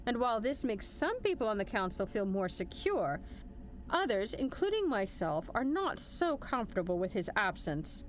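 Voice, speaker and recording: A woman plays a female general in a sci-fi audio drama, stern and measured. Her voice sits fairly high and moves expressively as she gives formal orders with dry wit, over faint background noise. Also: big pitch swings. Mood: commanding, measured. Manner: formal, authoritative briefing delivery. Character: stern, commanding female military general, measured and dryly wry. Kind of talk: scripted audio drama acting.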